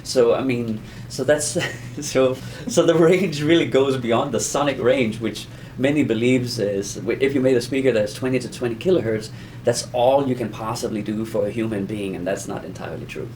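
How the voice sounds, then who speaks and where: A man with a medium-pitched voice explains things in an informal lesson. He talks conversationally and haltingly, circling back and repeating himself. There is some light noise behind him. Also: a little mellow. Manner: halting, rambling explanations with self-repetition. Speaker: audio explainer teaching beginners.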